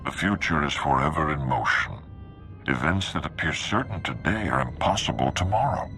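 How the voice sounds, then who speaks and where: An actor playing a commanding, serene Jedi master in a video game, speaking in a formal, measured, oratorical way. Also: male voice, extremely low pitch, animated, pushed and bright, soft background music, exaggerated voice. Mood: solemn, assured. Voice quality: gravelly. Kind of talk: cinematic video game acting.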